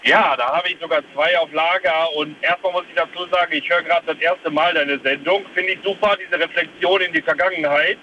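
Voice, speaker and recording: A man's voice: fairly high pitch, steady tone, brisk, few pauses, pushed and bright, dull audio, a little hiss or hum. A man from the Ruhr area telling a long rambling story as a caller to a radio show, phoning from his parked car. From Ruhr area, Germany. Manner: chatty, rambling, self-correcting. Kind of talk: phone-in storytelling. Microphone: phone.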